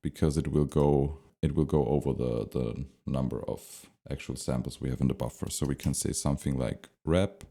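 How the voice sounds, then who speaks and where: A man giving an unscripted, step-by-step software tutorial, thinking aloud with some hesitation as he explains. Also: rumbling low, fairly even intonation, normal speed, vocal fry, few pauses. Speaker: tutorial presenter.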